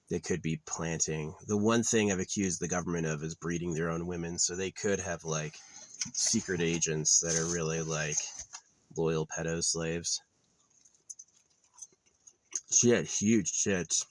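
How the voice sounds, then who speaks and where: Low-voiced man rambling offhand. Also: dry recording, rough recording. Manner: meandering, offhand, trailing off with filler. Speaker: vlogger. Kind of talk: rambling casual monologue. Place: outdoors at a quiet wooded campsite.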